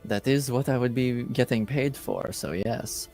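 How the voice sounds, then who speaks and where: A man voicing a formal, guarded hired agent in a fantasy tabletop game, speaking in measured, businesslike phrases. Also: mid-range voice, a little mellow, faint music behind, lightly acted.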